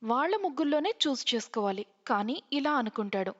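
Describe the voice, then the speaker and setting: High-voiced woman storyteller in long reverb. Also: lively intonation, brisk, fairly bright tone, muffled recording. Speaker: narrator. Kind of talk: scripted story narration.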